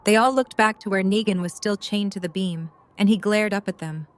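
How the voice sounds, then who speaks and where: A woman's voice: medium pitch, a little fast. A woman reading scripted story narration for an audio drama. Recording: noisy storm ambience behind.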